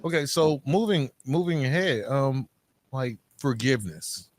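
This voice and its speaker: A man's voice: mid-range voice, lively intonation, a little slow, no room sound. A man hosting a podcast, asking questions and chiming in casually as an interviewer.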